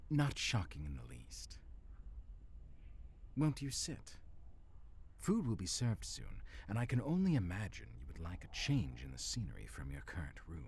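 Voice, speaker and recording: A man playing an eloquent, menacing villain lord in an audio roleplay, speaking with measured, condescending mock courtesy. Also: deep voice, huge pitch swings, vocal fry, a little mellow, slightly rough, exaggerated voice. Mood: mock-courteous. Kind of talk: dramatic audio roleplay acting.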